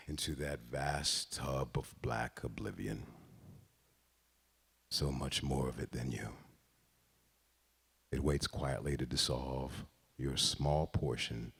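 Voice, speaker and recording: A man reads a literary story aloud in a deliberate, expressive way, pausing between phrases. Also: rumbling low, slightly rough. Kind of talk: dramatic literary reading.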